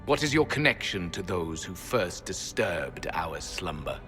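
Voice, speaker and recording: An actor voicing a proud, weary ancient elf guardian in a video game, speaking in stern, formal, archaic phrases. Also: male voice, low-pitched, sing-song, unhurried, pushed and bright, full character voice. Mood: stern, solemn. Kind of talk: dramatic video game acting. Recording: long hall reverb, music underneath.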